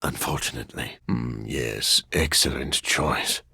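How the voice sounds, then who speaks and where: An actor playing a brooding, awkward vampire scientist in a video game, with a formal, dry-witted, deadpan delivery and a husky, gravelly voice. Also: male voice, very deep voice, measured pace, dry recording, full character voice.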